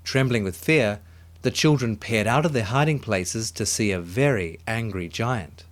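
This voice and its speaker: A man narrating a children's story in an expressive, dramatic way, with music behind him. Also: fairly low pitch, moderate pace.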